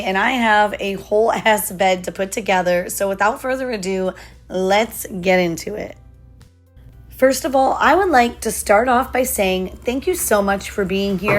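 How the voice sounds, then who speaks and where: A woman in her thirties with a hoarse voice, chatting casually and upbeat through a vlog voiceover. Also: medium pitch, measured pace. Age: 30s. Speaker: lifestyle vlogger.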